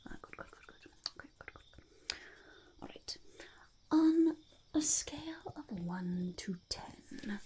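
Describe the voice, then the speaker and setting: A woman's voice: high-pitched, animated, slow, long pauses, mellow. A woman voicing a fussy, impatient goblin boss in a whispered ASMR roleplay, muttering and repeating words. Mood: probing, approving. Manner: speaks of self in third person, repeats words, mutters approval.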